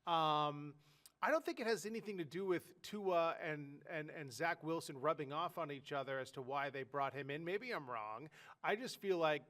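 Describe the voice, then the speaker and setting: A man with a higher-set voice talks sports in a loose, rambling way, full of "you know" and "uh" as he circles back on his points. A head cold leaves him sounding stuffed-up and nasal. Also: normal speed, a bit bright. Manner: rambling, lots of fillers and restarts. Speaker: sports podcast co-host. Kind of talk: casual sports talk.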